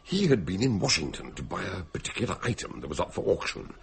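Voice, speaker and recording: An actor playing an elderly, eccentric detective doctor in a radio drama, genial and theatrical with a gravelly, husky voice. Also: male voice, low voice, sing-song, fast talker, exaggerated voice. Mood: genial, chatty. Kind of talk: radio drama acting and narration.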